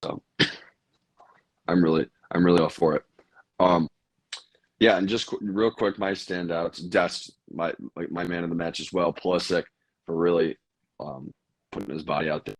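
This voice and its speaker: A young man with a raspy, tired voice, hosting a casual sports chat and rambling with many fillers. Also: low voice, fairly even intonation, normal speed, pauses often, no echo. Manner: rambling, lots of fillers and restarts. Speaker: podcast host.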